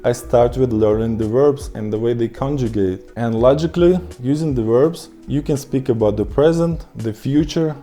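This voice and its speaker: A man's voice: medium pitch, moderate pace, few pauses, warm tone, faint music behind. A man whose first language is Russian, explaining his language-learning method to camera in an upbeat, instructive way. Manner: upbeat, instructive, emphatic lists. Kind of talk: scripted talk to camera.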